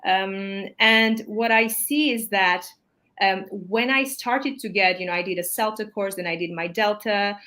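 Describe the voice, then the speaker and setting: A woman's voice: medium pitch, a little slow, bright, forceful tone. A woman in her thirties with Armenian as her first language, hosting a casual live chat in a chatty, filler-heavy way. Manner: chatty, apologetic, with many fillers. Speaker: English teacher, host.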